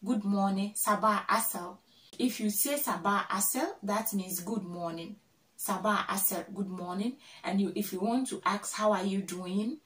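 A young woman from Ghana talking to camera in a vlog, slowly drilling words and repeating each one several times. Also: mid-range voice, a bit bright, far from the mic. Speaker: vlogger. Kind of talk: casual teaching to camera. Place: small room indoors, plain wall. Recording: echoey room, voice off-mic.

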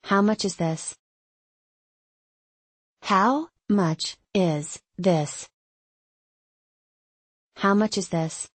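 A woman's voice: mid-range voice, extremely slow, long pauses, dry recording. A woman reading short English phrases for learners, saying each one carefully several times in a dry studio voice-over. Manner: careful, clear enunciation for learners. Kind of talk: slow repeated phrase reading.

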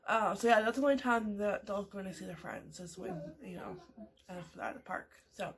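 A woman's voice: mid-range voice, fairly quick. Chatty woman rambling over morning coffee. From Canada. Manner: rambling, chatty, many fillers and restarts. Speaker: vlogger. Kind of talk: casual morning coffee chat. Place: small home room indoors.

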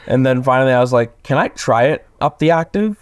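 Young male actor as interview guest; clean, resonant tenor lowered by sleep, hesitant casual talk, close dry sound. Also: lively intonation, a little fast, smooth, no echo. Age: young adult. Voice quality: tenor, lowered from just waking up. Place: plain small room indoors, video call.

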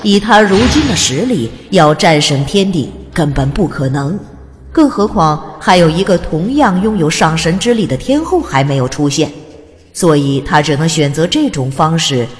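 A woman's voice: low-pitched, animated, normal speed. A woman narrating a fantasy audiobook in a dramatic, scripted storytelling style. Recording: long reverb over music. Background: music.

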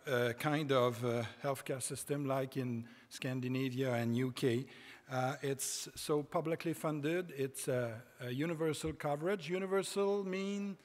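A middle-aged man with a French Canadian accent, a native French speaker giving a conference lecture from a podium, halting with many hesitations and restarts. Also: on the high side. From Quebec, Canada. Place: large conference hall stage. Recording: reverberant conference hall.

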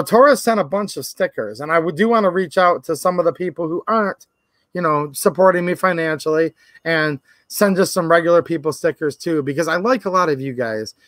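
A man in his thirties hosting a livestream, talking casually and earnestly to his viewers, his voice a little raspy from cold and pollen. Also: a little high, a bit bright, no room sound. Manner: earnest, rambling, repeats "literally" and "like". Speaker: channel host. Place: indoor workshop or garage.

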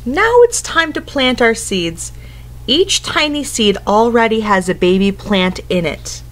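A woman's voice: a little high, lively intonation, unhurried. Woman teacher reading aloud to young children. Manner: instructive, simple phrasing for children, often asking questions. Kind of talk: picture-book read-aloud with asides and questions to young listeners. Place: indoors at home, living room couch.

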